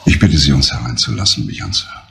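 An actor playing a grave, controlled middle-aged detective under suspicion, speaking in a measured, deliberate way in a crime drama. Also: male voice, very deep voice, lively intonation, vocal fry, fairly bright tone, full character voice. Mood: grave, insistent. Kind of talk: restrained crime drama dialogue.

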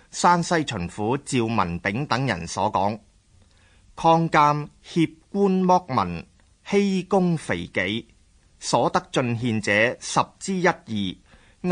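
A man narrates scripted history for a radio drama in a Hong Kong Cantonese accent. His pitch is medium and his delivery very slow and measured, like formal storytelling. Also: fairly bright tone.

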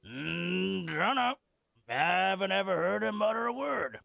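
An actor playing a cheeky, scruffy old veteran freeloader in a video game, with a raspy, drawling, theatrical delivery. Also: male voice, high voice, animated, measured pace, pushed and bright, dry recording, clean studio sound, full character voice. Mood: wry. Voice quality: gravelly, raspy. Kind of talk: video game character acting.

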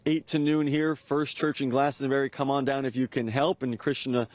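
A young man reporting live for local TV news outdoors at a church drop-off, speaking into a handheld station mic with a brisk, upbeat delivery. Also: mid-range voice, little pitch movement, no room sound. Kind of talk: live field report and packaged voice-over. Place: outdoors at a church parking lot.

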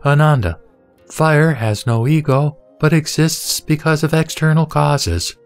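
A man voicing a serene, authoritative holy teacher in a scripture audio drama, solemn, didactic and measured. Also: fairly high pitch, steady tone, faint music behind. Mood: solemn teaching.

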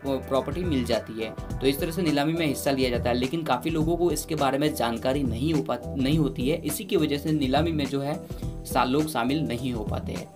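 A male presenter giving an informal news voice-over, talking conversationally with many fillers and restarts. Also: medium pitch. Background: background music.

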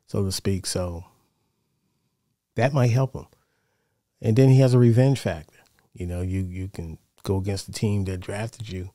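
Male American sports host, middle-aged, lowish relaxed voice, measured and conversational delivery. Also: no room sound, clean studio sound. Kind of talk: casual sports commentary. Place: small home studio, indoors. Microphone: close broadcast mic on boom arm.

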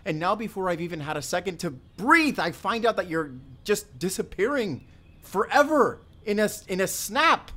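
A man's voice: high voice, animated, moderate pace, few pauses, sharp tone, full character voice. Earnest, lovelorn young man acted by a man. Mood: upset, pleading. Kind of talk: audio roleplay acting.

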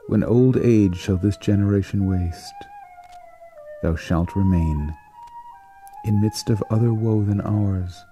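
A man reads verse in a low, very mellow and dark voice. His delivery is slow and solemn, breaking the lines into short, deliberate phrases with dramatic weight. Faint flute music plays behind him. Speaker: poetry reader. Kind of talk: slow, dramatic poetry recitation.

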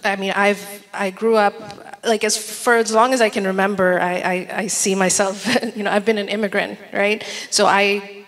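A woman's voice: medium pitch, little pitch movement, normal speed, few pauses, a bit bright. Earnest woman author in a reverberant hall. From Bangladesh. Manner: earnest, repeats words while searching for them. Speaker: author on a panel. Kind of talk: panel discussion answers. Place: large event hall with an audience. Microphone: handheld mic.

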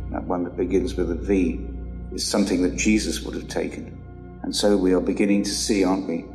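A man preaching in a very low voice with a gravelly edge, earnest and fond of tag questions. His words ring out in a long hall reverb, with music clearly playing underneath. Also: a little mellow. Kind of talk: sermon with scripture reading. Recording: echoey hall with music behind.

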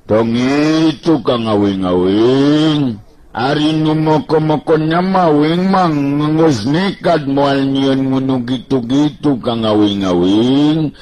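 A man voicing a slow-witted, whiny male fighter in a radio story, drawling out simple repeated phrases. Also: medium pitch, darkish tone, voice pushed up, lightly acted, rough recording. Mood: anxious pleading.

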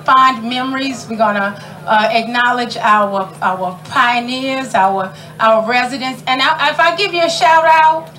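A woman's voice: high-pitched, a bit bright, rough recording. A middle-aged woman emceeing an outdoor community ceremony, chatty and folksy, laughing often and calling out to the crowd. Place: outdoor tent at a park gathering.

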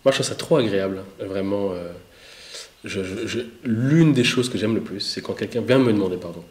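A man in his thirties with a Belgian French accent, talking in a lively, animated way about faith and forgiveness. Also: lowish voice, a little fast. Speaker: co-host and street interviewer. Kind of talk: casual faith discussion. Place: indoor studio set, echoey room. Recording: roomy echo.

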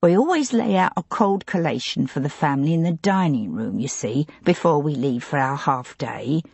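A woman voicing a plump, tearful older housekeeper who talks on anxiously and pleadingly, with a breathy voice. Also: low voice, lively intonation, moderate pace, a little mellow, no room sound, exaggerated voice. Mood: earnest.

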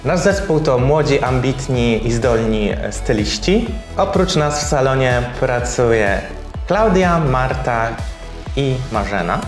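Measured man in a roomy salon. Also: medium pitch, lively intonation. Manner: measured, slightly formal with filler pauses. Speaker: salon co-owner. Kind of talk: sponsored testimonial interview. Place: bright hair salon, indoors. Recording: roomy salon with soft background music.

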